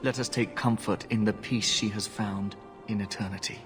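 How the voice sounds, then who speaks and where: Man acting pious fantasy game knight, flat low-ish voice, measured and reverent, loud music behind. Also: lightly acted. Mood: solemn reverence. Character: solemn, devout holy knight reciting funeral prayer.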